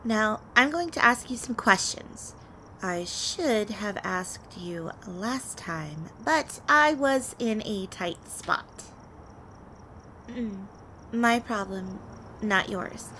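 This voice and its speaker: A woman's voice: medium pitch, animated, a little hiss or hum, rough recording. A woman playing a flirty, teasing, confident vampire in an intimate audio roleplay spoken to the listener. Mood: teasing, bossy. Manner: playful, teasing, unhurried delivery with soft breathy asides.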